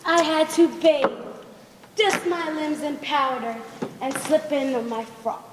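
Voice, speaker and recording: A young woman acting a brash but self-conscious teenage tomboy in a high school stage play. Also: slow pace. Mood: showy. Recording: echoey hall, distant mic, noisy.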